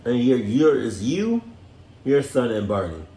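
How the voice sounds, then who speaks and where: A man's voice: medium pitch, very animated, unhurried, mellow. A man hosting a reaction video with blunt, slangy, repetitive casual commentary. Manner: blunt, slangy, repeats himself. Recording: echoey room.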